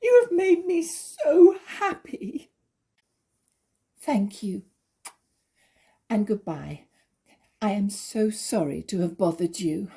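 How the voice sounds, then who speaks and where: A woman's voice: mid-range voice, very animated, slow, long pauses, dark tone, slightly rough, exaggerated voice. A woman playing a naive, emotional wife in a stage comedy, earnest and hesitant in manner. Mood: tearful gratitude. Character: naive, tearful, easily fooled jealous young wife. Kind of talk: theatrical stage comedy acting.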